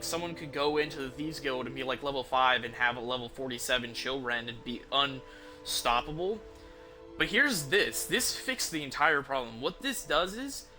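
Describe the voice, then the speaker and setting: A man's voice: a little high, bright, forceful tone. A man giving casual live stream commentary as a game streamer, talking in an informal, enthusiastic, rambling way.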